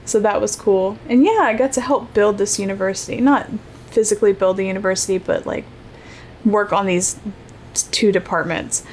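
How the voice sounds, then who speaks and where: A young woman hosts a solo podcast, talking in an easy, rambling way full of fillers and self-corrections. Her voice sits at an ordinary middle pitch. A faint background noise runs under the recording. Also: moderate pace. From Ohio, United States. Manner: chatty, rambling, many fillers and restarts. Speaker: podcast host. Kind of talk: casual solo podcast monologue.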